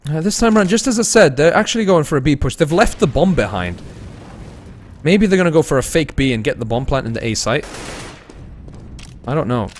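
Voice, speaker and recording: A young man casting an esports match, laid-back and tired between plays, rising to fast, excited calls when the action heats up. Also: on the high side. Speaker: esports caster. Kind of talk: live esports commentary mixed with chatting to viewers.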